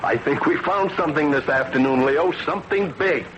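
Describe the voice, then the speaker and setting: An actor playing a curious, earnest Navy radio scientist who also narrates, musing and a little absent-minded, in a 1940s radio drama. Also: male voice, a little high, a little fast, highs cut off, heavy background noise, lightly acted. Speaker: narrator and lead character. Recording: old radio broadcast with loud music underneath.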